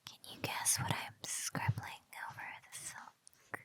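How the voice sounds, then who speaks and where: Slow, whispery, teasing spider-woman played by a woman. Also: dry recording. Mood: playful, gloating. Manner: slow, soft, breathy, lingering teasing delivery. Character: teasing, doting female spider-woman captor, playfully cruel. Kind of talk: whispered ASMR roleplay audio drama.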